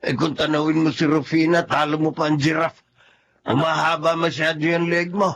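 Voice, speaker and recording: A gravelly older man with a slightly high voice. He is a weary peacemaker in a drama, wry and chiding, recorded with faint noise behind him. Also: flat delivery, moderate pace, fairly bright tone. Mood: teasing.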